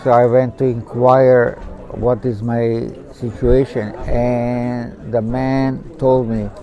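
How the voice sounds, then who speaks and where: A man in his seventies, born in Macedonia and raised in Zagreb, tells his personal story in halting, simple non-native English. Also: mid-range voice, little pitch movement, highs cut off. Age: 70s. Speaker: interviewee. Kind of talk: personal testimony in conversation. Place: outdoors at a pilgrimage site.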